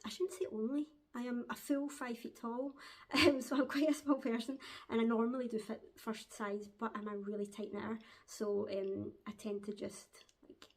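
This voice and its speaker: A Glaswegian woman hosting a crafting podcast from home, chatting casually to camera, hesitant with frequent ums and quick laughs. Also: a little high, level tone. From Glasgow, Scotland. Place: at home, seated with a dog on her lap.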